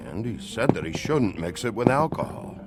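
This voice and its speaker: An actor playing a big, rough, plain-spoken working man with a guilty, defensive temper in a video game. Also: lowish voice, sing-song, moderate pace. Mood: low, uneasy. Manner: plain-spoken, folksy double negatives. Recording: music behind the voice.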